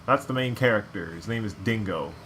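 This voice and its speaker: A man's voice: lowish voice, a little fast, a bit bright. A man giving casual game commentary, chatty and rambling as he explains things. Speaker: let's play co-commentator. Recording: noticeable background noise.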